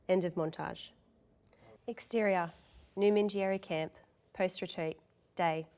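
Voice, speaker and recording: A woman's voice: on the low side, darkish tone. A woman reading scripted stage directions as the narrator of a table read.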